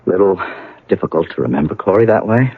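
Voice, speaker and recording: An actor playing a grieving, wry and bitter heir to a wealthy house in a 1970s radio drama, speaking haltingly. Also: male voice, low-pitched, animated, slightly rough, no echo, heavily acted. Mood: bitter, frank. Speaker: actor in a radio mystery play. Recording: narrowband old radio broadcast, dry.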